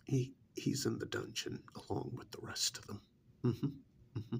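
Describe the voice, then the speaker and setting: Man playing a slow, creaky, soft-spoken vampire emissary. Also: medium pitch, fairly even intonation, a little hiss or hum. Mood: condescending, disappointed. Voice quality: breathy, half-whispered, creaky. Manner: slow, deliberate, condescending, hesitant pauses. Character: calm, menacing male vampire emissary of a queen. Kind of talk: soft-spoken ASMR role-play.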